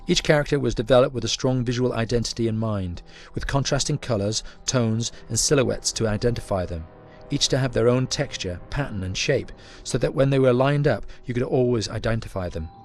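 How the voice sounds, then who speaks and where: A man giving scripted making-of narration in a measured, explanatory manner. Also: mid-range voice, soft background music.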